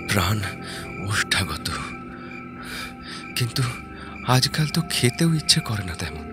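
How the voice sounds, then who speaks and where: A man narrating a horror story in a dramatic, suspenseful way, in short halting phrases. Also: lowish voice. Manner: suspenseful, halting short phrases, dropping to hushed breathy tones. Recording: background music under the voice. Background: prominent music.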